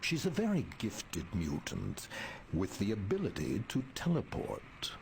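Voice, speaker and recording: A man voicing a composed, commanding mutant leader in a video game cutscene, with formal, deliberate diction. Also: fairly low pitch, wildly swooping pitch, gravelly, a little mellow, a little hiss or hum, full character voice. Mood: grave, measured. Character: composed, commanding male mutant leader briefing his team.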